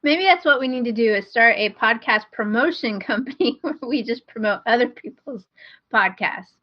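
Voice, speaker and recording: High-voiced woman on a dull, dry recording. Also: animated, normal speed, no echo. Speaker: podcast co-host. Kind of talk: casual podcast chat.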